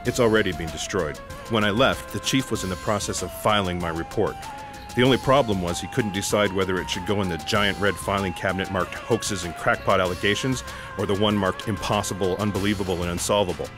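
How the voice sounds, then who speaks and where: Disillusioned male secret agent, played by a man, slightly deep, dry and matter-of-fact, sci-fi audio comedy over music. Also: fairly quick, close to an everyday voice. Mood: resigned, sardonic. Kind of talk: comic sci-fi audio drama acting.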